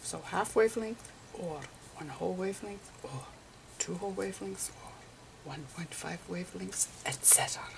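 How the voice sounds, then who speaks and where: A woman giving an ASMR lecture in a breathy whisper, speaking in halting short phrases. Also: fairly low pitch, background noise. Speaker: ASMR performer playing a physicist. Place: close over a chalkboard on a table indoors.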